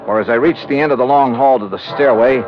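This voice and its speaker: An actor playing a hard-boiled male insurance investigator who narrates his case in brisk, wry first person, in 1950s radio drama style. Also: mid-range voice, lightly acted. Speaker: narrator-detective. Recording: muffled old radio with music.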